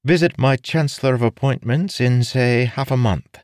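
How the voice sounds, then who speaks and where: A man's voice: medium pitch, moderate pace, a little mellow, no echo, studio quality, pitched above the performer's own voice, lightly acted. A man voicing a calculating, imperious noblewoman in an audiobook story, curt, formal and commanding.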